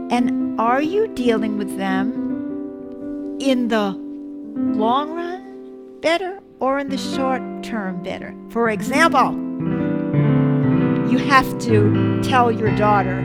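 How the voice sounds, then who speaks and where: A woman in her seventies hosting a show, talking to viewers in a rambling, self-mocking way full of joking asides. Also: fairly high pitch. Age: 70s. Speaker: host. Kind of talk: casual monologue to viewers. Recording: loud music playing behind.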